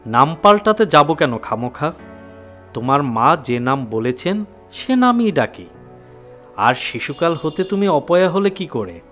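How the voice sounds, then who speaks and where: A gentle young educated husband in a radio play. His voice is a little high and moves in lively swings as he patiently questions, with soft music underneath. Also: measured pace. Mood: gentle, probing. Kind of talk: Bengali audio drama acting.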